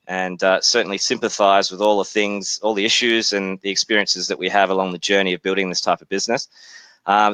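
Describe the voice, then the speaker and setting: A man in his forties with an Australian accent, politely presenting his software company in a webinar, speaking in a measured way with frequent hesitations. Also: fairly low pitch, smooth, no echo, rough recording.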